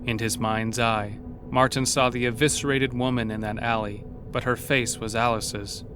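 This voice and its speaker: A man's voice: mid-range voice, steady tone, normal speed, music in the background. A man narrating a scripted horror story as the narrator of an audio drama.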